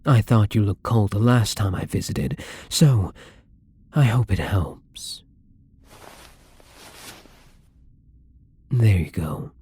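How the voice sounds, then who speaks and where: A man playing a gentle, caring vampire in an intimate ASMR roleplay, speaking softly and tenderly with a husky, breathy voice. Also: fairly low pitch, expressive, takes it slowly, heavily acted. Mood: caring, attentive. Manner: soft-spoken, tender, breathy.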